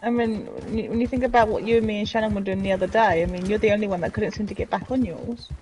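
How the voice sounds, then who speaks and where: A woman's voice: mid-range voice, darkish tone, far from the mic, poor audio. A woman joking with a friend while they play a game together online. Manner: teasing, playful, quick to laugh. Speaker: co-player. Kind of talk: casual gaming chat.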